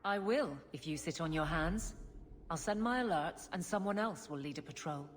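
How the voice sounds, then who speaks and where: Woman as a firm, low-voiced guardswoman. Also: lively intonation, moderate pace, a little hiss or hum, lightly acted. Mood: resolute. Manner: blunt, firm, businesslike. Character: stern, practical female city guardswoman. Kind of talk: fantasy video game acting. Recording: long reverb, loud music behind.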